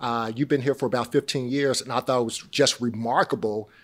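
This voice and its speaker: A man's voice: mid-range voice, fairly even intonation, a bit bright. A man hosting an interview show from a history museum, often restarting and repeating words as he talks. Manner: halting, repeats words while searching for phrasing. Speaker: show host, judge. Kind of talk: interview host.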